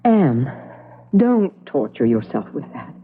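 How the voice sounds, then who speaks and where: An actress playing a warm, upbeat sister who coaxes and consoles in an old-time radio drama. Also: female voice, rumbling low, sing-song, takes it slowly, heavily acted. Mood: soft, consoling. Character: warm, coaxing, upbeat sister of a grieving widow. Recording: noisy narrow-band vintage radio recording.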